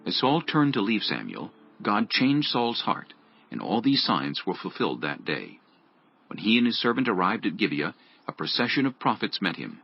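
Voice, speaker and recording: Deep raspy guy narrating bible story, real expressive, slightly muffled with music under it. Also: moderate pace. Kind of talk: scripted dramatic narration. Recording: dull lo-fi audio with music. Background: background music.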